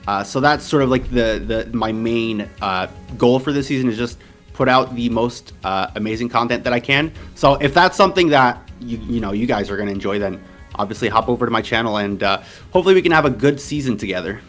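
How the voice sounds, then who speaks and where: A man's voice: medium pitch, a little fast, faint music behind. Laid-back young man with an American accent. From Portugal. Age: 20s. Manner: relaxed, rambling, many fillers and restarts. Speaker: interview guest, competitive game player. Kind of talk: casual interview chat.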